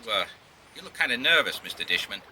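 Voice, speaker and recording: Suspicious young bribe-giver for radio drama: guarded, cagey, sharp-edged. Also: male voice, medium pitch, very animated, fast, slight background noise. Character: smooth, suspicious young man delivering a bribe.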